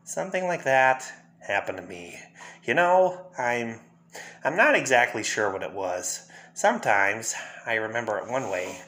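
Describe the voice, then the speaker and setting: Crazy clown villain from a comic, bright edgy voice, taunting and erratic. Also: male voice, mid-range voice, expressive, a little slow. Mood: taunting, bitter. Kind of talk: fan dramatic reading of a comic.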